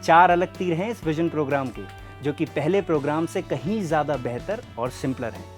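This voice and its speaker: A man's voice: fairly high pitch, fairly quick, soft background music, close to an everyday voice. A man playing an earnest, persuasive sales rep, explaining things directly to the listener in a scripted training film. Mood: persuasive, polite. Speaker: presenter and sales representative. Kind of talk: scripted training film narration and role-play.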